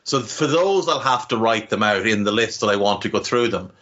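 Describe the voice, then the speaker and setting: Bright-voiced man chatting on a podcast. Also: brisk, highs cut off. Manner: animated, swears freely, jumps up in pitch. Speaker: podcast co-host. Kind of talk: casual podcast chat. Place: home.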